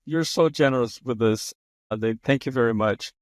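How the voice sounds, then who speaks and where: A Brazilian man hosts an interview in English, his second language, speaking with a Brazilian Portuguese accent at a mid pitch. He is effusive, stacks up restarts and repeats words as he works toward his question. His voice sounds dry and close, with almost no room echo. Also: normal speed. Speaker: podcast host. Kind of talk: conversational interview.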